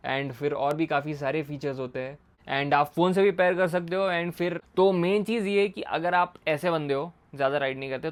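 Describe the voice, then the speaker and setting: A man giving a casual product explainer in a conversational way, mixing in English words and repeating his points. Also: fairly high pitch. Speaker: motorcycle gear reviewer and video host.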